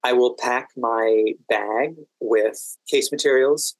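A man answering interview questions in a measured, careful way, pausing mid-sentence as he explains. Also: mid-range voice, steady tone, no echo, low-quality recording. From United States, Washington DC area. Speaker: podcast guest, standardized patient and exam event administrator.

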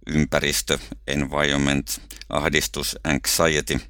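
A man with a Finnish accent explains ideas with care, often catching and restarting his words. His very deep voice has a bright, smooth clarity. The sound is dry, with no room around it. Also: completely flat delivery, unhurried, dry recording. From Finland. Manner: careful, explanatory, with frequent small restarts. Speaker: researcher and guest. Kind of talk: thoughtful podcast conversation.

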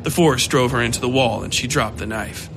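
A man giving scripted narration of a dark horror story. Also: medium pitch, brisk, vocal fry, over music.

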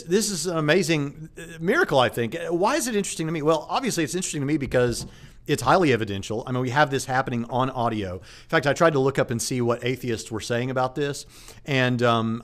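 A man, a preacher and channel host, talking casually about faith and evidence, with frequent fillers and restarts. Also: mid-range voice. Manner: conversational with many fillers and restarts. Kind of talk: casual apologetics talk to camera.

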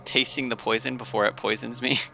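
Bright, gravelly man at the game table. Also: medium pitch, steady tone, brisk, faint music behind, low-quality recording. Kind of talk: casual in-game talk.

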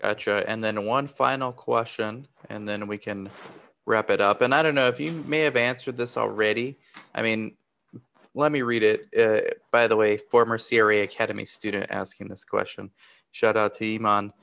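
A man's voice: on the low side, steady tone, normal speed, vocal fry, a bit bright. A man from Romania hosting a webinar, asking questions in a casual, friendly way. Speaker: webinar host. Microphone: video call.

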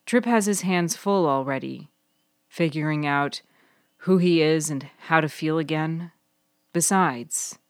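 A woman playing a smirking, persuasive woman who gives advice in a story, measured and coaxing. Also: deep voice, dry recording, studio quality.

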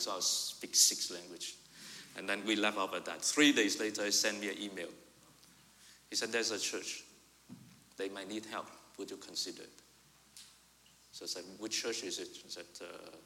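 A male pastor, preaching and telling a personal story, rising loud for emphasis and dropping to quiet asides. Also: lowish voice, a little hiss or hum. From Vietnam. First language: Vietnamese. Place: church sanctuary. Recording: reverberant church hall.

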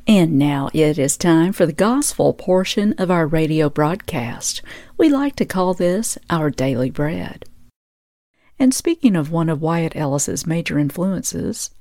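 A woman's voice: sits low, animated, unhurried, mellow. A woman hosting a radio show and podcast, reading scripted announcements and narration.

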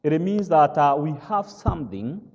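A man from Kenya with a Kenyan accent, whose first language is not English, preaching a sermon as pastor. He speaks slowly and haltingly, fills pauses with "uh" and keeps asking "all right?". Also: fairly high pitch, sing-song, a little mellow, long reverb tail, highs cut off. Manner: slow, halting with many "uh" fillers, checks "all right?".

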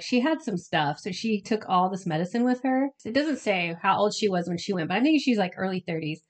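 Congested woman podcast host, casual chatty storytelling, talks like shes catching up w a friend, does little imagined quotes. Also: fairly low pitch. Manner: chatty, colloquial, frequently quoting imagined dialogue.

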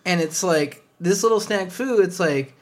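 A man with a high-pitched voice ranting about a customer service mix-up, swearing often in casual chat. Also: a little slow. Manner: ranting, swears a lot, frustrated storytelling. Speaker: podcast co-host.

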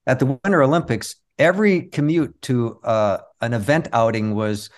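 A man with a medium-pitched voice talks as a guest on an interview show. He is a conversational storyteller, laying out his points casually through anecdotes and explanation. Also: moderate pace. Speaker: interview guest, performing magician.

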